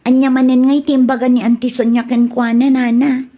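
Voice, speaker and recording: A woman's voice: high-pitched, little pitch movement, fairly quick, dark tone, lightly acted. A woman acting an earnest, protective adult daughter in an Ilocano-accented radio drama. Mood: curious, concerned. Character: protective, indignant adult daughter fretting over her father.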